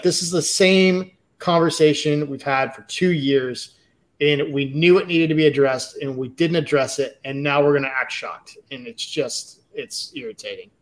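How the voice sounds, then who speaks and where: A man venting in a casual sports chat, blunt and fed up, dropping the odd swear. His voice sits a little high for a man. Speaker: podcast co-host.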